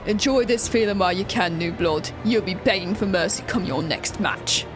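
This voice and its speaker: Game role: woman as vengeful spectator, lowered voice, full of menace. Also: normal speed, music in the background, close to an everyday voice. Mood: menacing. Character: menacing sore-loser spectator, bitter and threatening.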